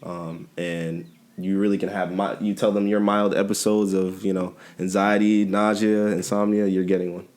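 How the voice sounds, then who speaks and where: A man co-hosting a podcast, relaxed and conversational, often checking in with the listener. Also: sits low, moderate pace. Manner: laid-back, keeps asking "you know what I'm saying". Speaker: podcast co-host. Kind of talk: casual chat.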